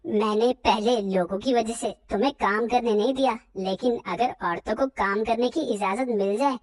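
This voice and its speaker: A humble young husband from a cartoon moral tale, played by a woman, talking softly and apologetically. The voice stays fairly high despite being pushed down, and the recording is dry and close. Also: normal speed, dry recording, natural voice. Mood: gentle. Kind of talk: cartoon story dialogue.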